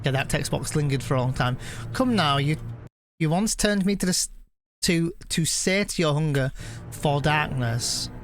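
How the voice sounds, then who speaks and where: A man live streaming a video game, chatting casually and reading the on-screen text aloud in a halting way, often correcting himself. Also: a little high, expressive, soft background music.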